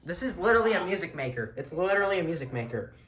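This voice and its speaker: A man's voice: high voice, sing-song, rapid-fire, heavy background noise. A man giving a casual show-and-tell tour of a radio collection as an enthusiastic hobbyist.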